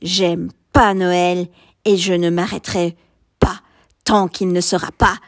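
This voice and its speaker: A woman's voice: fairly low pitch, flat delivery, slow, dry recording, clean studio sound. A woman voicing a spiteful, taunting Christmas-hating thief in a children's story. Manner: taunting, loud.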